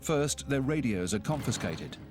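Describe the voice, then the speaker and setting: A man narrating a historical documentary in a measured, solemn scripted delivery, recorded as a studio voice-over. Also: medium pitch, very animated. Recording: narration under loud music score.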